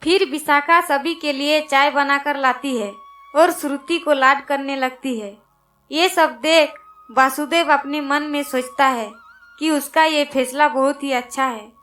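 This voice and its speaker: Narrator: woman, high voice, scripted children's bedtime moral tale, light music bed. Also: fairly even intonation, a little slow, a bit bright. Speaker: story narrator.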